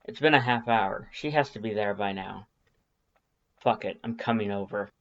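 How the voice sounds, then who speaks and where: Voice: mid-range voice, moderate pace, fairly bright tone, lightly acted. A laid-back skeleton guy, voiced by an audiobook reader, trying to hide his worry. He talks in casual, apologetic slang. The audio is a touch dull. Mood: worried. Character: laid-back, anxious male skeleton monster, friendly texter.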